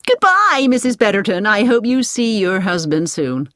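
A woman voicing a brisk, secretive American woman traveler in a storybook, breezy and decisive with a hard edge. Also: lowish voice, big pitch swings, a bit bright, no echo, studio quality. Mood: cheerful.

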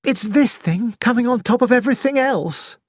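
Scared young woman, as the reader puts her on, quick shaky lines, very dry close sound. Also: medium pitch, lively intonation, dry recording, pitched above the performer's own voice, lightly acted. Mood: anxious. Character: frightened young woman, brittle brave front.

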